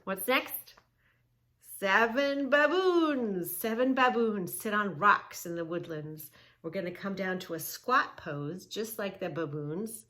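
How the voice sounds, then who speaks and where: A woman hosting a children's yoga read-along, teaching in an emphatic, sing-song way with drawn-out key words and questions. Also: medium pitch, lively intonation, sharp tone. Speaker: children's yoga story-time host. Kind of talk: read-along lesson for young children, narrating and instructing.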